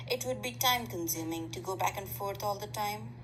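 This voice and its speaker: A woman plays a mild teenage boy idol in an anime fandub. The voice sits at an ordinary female pitch, casual, chatty and a little awkward. Faint noise runs behind the home recording. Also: brisk, fairly bright tone, close to an everyday voice, low-quality recording. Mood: curious, musing. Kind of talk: amateur anime fandub acting.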